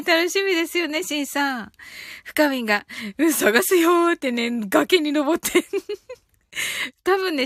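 A woman's voice: sits high, dry recording, clean studio sound. A woman hosting a live audio stream, chatting casually and reading out listener comments in a giggly, chatty way. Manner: giggly, chatty, often ends phrases with soft filler particles.

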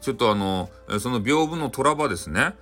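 A man's voice: medium pitch, expressive, fast, fairly bright tone, faint music behind, close to an everyday voice. A man voicing a clever, cheeky boy monk in a folktale, confident and teasing, with a Hakata accent. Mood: eager, sly.

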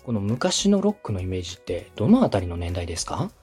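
Male host, polite and methodical consultant, mellow tone, animated pitch movement. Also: fast, breathy edge, faint music behind. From Osaka, Japan. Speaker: host, podcast producer coaching a client. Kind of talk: structured advice in a podcast consultation.

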